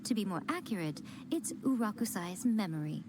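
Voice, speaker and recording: A woman's voice: medium pitch, sing-song, moderate pace, background noise, natural voice. Woman playing a sly, poised fox lady. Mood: composed, explaining. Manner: poised, coy, knowing. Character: sly, elegant female fox shrine maiden, smugly composed. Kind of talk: video game dialogue acting.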